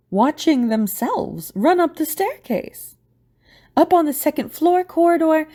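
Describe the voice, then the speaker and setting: Husky lady narrator, higher pitched but soft and mellow, telling a story over some music. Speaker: narrator and host. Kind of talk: scripted audio drama narration.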